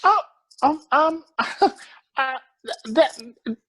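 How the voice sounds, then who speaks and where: Man voicing a timid, halting witch, high register. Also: animated, bright, forceful tone, exaggerated voice. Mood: uneasy. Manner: hesitant, halting, lots of ums. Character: awkward, nervous fairy-tale witch.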